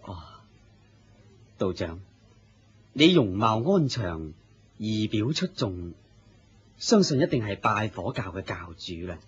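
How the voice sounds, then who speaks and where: A serene, unafraid holy man, low and very husky, with a Hong Kong Cantonese accent. He is courteous and composed, as in a muffled radio play. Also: sing-song, takes it slowly, long pauses, full character voice. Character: serene, dignified male Buddha, courteous and unafraid. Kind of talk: Cantonese radio drama acting.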